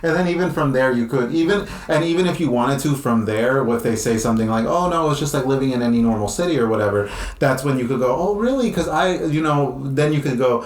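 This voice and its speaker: A laid-back podcast co-host with a wry, easygoing way of talking. The voice sits at a middle pitch. The room around the voice is echoey. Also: lively intonation, fast talker. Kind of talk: casual chat.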